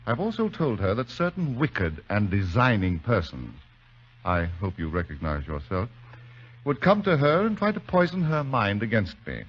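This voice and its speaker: An old, muffled radio recording of an aristocratic villain. He speaks low, with wide, gliding intonation, silky and condescending, every polite phrase edged with menace. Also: sing-song, moderate pace, slightly rough. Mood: smug amusement. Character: suave, menacing aristocratic male villain, smugly amused. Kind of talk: 1940s radio drama acting.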